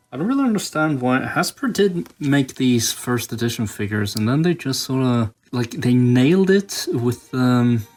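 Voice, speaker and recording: A man giving casual, hands-on tutorial commentary as a video host, musing aloud in halting phrases. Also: mid-range voice. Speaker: toy customizing video host.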